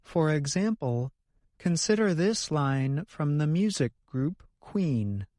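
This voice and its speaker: A man's voice: fairly high pitch, expressive, slow pace, a little mellow, dry recording, studio quality. A man co-hosting an educational podcast, reading scripted explanations in a careful, explanatory manner. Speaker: co-host of an English lesson podcast.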